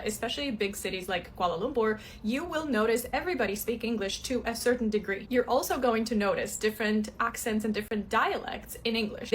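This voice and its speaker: A woman narrating an informative explainer in a clear, explanatory manner. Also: a little high, a bit bright, slight background noise. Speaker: explainer vlogger.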